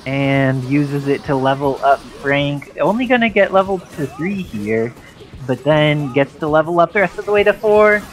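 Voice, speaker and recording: A man's voice: on the high side, lively intonation, moderate pace. Man commentating over game music. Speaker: esports commentator. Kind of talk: live fighting game play-by-play commentary. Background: game music under the commentary.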